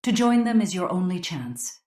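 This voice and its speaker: A woman's voice: fairly low pitch, very animated, normal speed, darkish tone. A woman playing a calculating female demon defector in an audiobook drama, speaking formally and measuredly in a roomy, echoing sound. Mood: urgent pleading.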